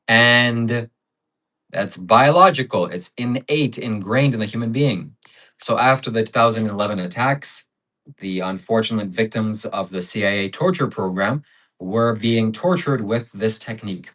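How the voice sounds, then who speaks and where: Low-voiced man hosting a livestream. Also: a bit bright, poor audio. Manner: reads out donations and piles up adjectives. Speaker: livestream host. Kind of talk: casual livestream chat.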